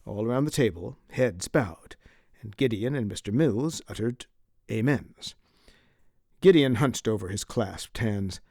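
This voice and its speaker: A man's voice: lowish voice, expressive, a little slow, a little mellow, no echo, studio quality. A man reading scripted literary narration as an audiobook narrator.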